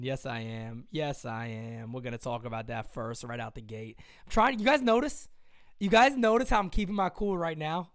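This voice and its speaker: An excitable man hosts a live stream. He drops to a mutter and then bursts into yelling. His voice sounds strained from the shouting. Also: high voice, clear, resonant tone. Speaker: live-stream sports show host. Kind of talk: casual live-stream commentary.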